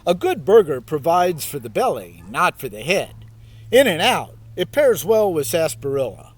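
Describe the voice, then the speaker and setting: A man reading aloud and adding informal reflections in a halting, wry, laid-back way, as host of a meditation video. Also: on the high side, big pitch swings. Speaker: self-styled priest, meditation video host. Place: outdoors in a park.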